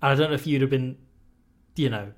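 A man's voice: medium pitch, fast. Welsh guest in his thirties, dry jokey podcast chatter. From Wales. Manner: joking, dry gallows humour. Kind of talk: casual rugby podcast chat.

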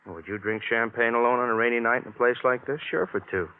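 An American actor playing a wry, plain-talking police detective sidekick in a 1950s radio crime drama, casual and wisecracking. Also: male voice, mid-range voice, fast, natural voice. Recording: narrow, tinny vintage radio recording.

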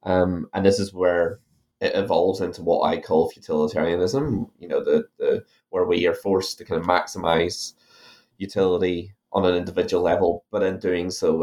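Irish man, low voice, halting academic. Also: a little mellow. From Ireland. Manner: thoughtful, many fillers and restarts. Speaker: author guest. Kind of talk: academic interview.

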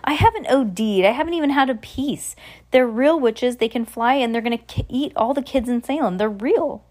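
A woman's voice: medium pitch, moderate pace, a little mellow, close to an everyday voice. A woman voicing a scared, insistent young girl, reading her lines with urgency. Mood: urgent. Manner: urgent, pleading.

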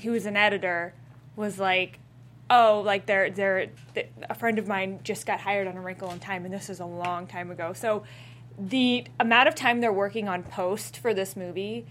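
A woman's voice: mid-range voice, fairly even intonation, moderate pace, a bit bright. A woman co-hosting a casual chat, giving her opinions in a chatty way.

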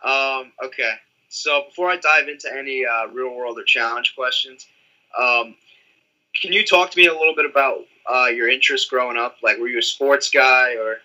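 Male host, hesitant questions, lots of ums, very bright voice. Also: mid-range voice, moderate pace, rough recording. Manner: hesitant, many ums and uhs. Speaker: interview host. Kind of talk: casual interview questions.

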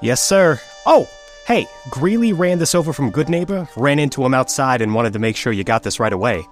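An actor playing an eager, deferential young detective's assistant in an old-time radio drama, earnest and a bit hesitant. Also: male voice, mid-range voice, expressive, fairly quick, soft background music, lightly acted.

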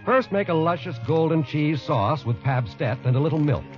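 A man's voice: a little high, lively intonation, normal speed. A man reading a 1940s radio advertisement in a salesmanlike manner. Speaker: radio commercial announcer. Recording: dull old radio with loud music.

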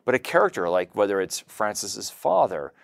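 Low, dry-sounding male podcast host. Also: sing-song, normal speed, gravelly, no room sound. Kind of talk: conversational interview.

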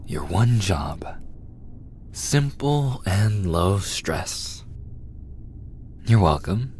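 Man acting a low, slow, obsessive vampire. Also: a little mellow, slight background noise. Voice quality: breathy, often dropping to a whisper. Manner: slow, intimate, gloating. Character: obsessive, possessive male vampire, starved and hungry for blood. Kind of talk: boyfriend ASMR audio roleplay.

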